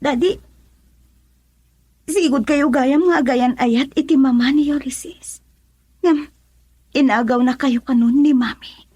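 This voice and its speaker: An actress playing an anxious, tender young student in love, in a melodramatic radio drama with a breathy delivery. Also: female voice, high voice, slow, pauses often, mellow, no room sound. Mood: upset, pleading.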